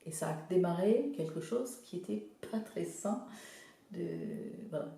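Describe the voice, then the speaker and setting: Woman from Zimbabwe, English-native, art therapist; dark gravelly tone, halting delivery, roomy echo. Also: lowish voice, lively intonation, a little hiss or hum. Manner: hesitant, searching for words, many fillers. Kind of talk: casual interview. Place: studio workshop.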